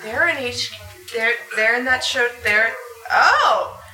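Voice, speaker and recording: A woman's voice: a little high, animated, takes it slowly, bright, forceful tone. A woman chatting casually and jokingly as a panelist at a live convention session. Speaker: panelist, voice actor. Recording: roomy, distant mic, noisy background.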